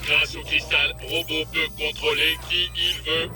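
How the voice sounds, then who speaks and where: Cartoon robot henchman: slow, lowish, dim and boastful, with swooping exclamations over loud music. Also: little pitch movement. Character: simple-minded, boastful robot servant. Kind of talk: French cartoon dubbing.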